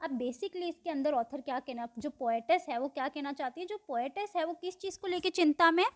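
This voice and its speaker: A woman teacher explaining a poem line by line, reading English lines slowly and then explaining them in Hindi, asking and answering her own questions. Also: sits high, no room sound, studio quality.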